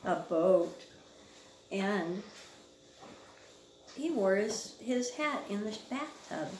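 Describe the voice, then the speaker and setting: A woman's voice: mid-range voice, animated, drawn-out words, a little mellow. A woman, a mother, reading along casually with her young child and asking simple, prompting questions. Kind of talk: casual read-along chat with a small child. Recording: roomy, noisy amateur home recording.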